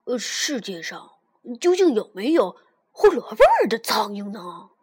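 A woman voicing a young male frog in a bedtime story, loud and eager. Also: medium pitch, sing-song, moderate pace, husky. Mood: wistful.

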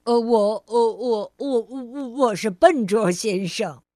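A woman's voice: a little high, slow pace, dark tone, no echo, studio quality. A woman voicing a clumsy, cheerful grown man who stutters and repeats his words, in a children's storybook reading. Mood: bumbling. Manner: stammering, hesitant, repeating words.

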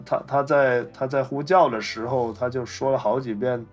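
A man's voice: mid-range voice, steady tone, normal speed, faint music behind. A man co-hosting a podcast, explaining points about film in a casual, conversational discussion style. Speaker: podcast co-host.

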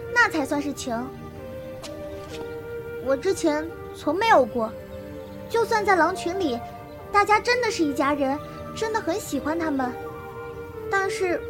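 A woman's voice: extremely high pitch, full character voice. A woman playing a naive, blunt and devoted young martial arts girl raised by wolves, speaking simply and earnestly in a Chinese period audio drama. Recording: voice over prominent background music.

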